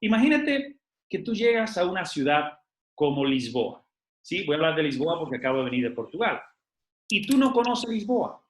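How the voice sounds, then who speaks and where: A man from Spain, giving a lively motivational leadership talk to a group, asking rhetorical questions and using many fillers. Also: on the high side, normal speed. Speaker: network marketing team leader. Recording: echoey room.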